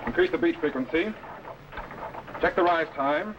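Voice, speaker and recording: An actor playing a commanding time-travel captain in a 1950s TV serial, giving brisk, businesslike orders. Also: male voice, fairly high pitch, animated, highs cut off, noisy background, natural voice. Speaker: lead of the series.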